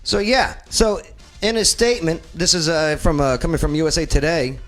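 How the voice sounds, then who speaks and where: A forty-year-old man hosting a casual panel chat in a joking, animated way. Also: on the high side, moderate pace, faint music behind. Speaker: talk show host.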